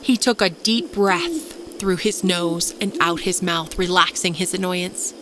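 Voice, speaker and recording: A woman's voice: fairly low pitch, a little slow. Female storyteller over noisy ambience. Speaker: storyteller, podcast narrator. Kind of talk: scripted narration of dark suspense story.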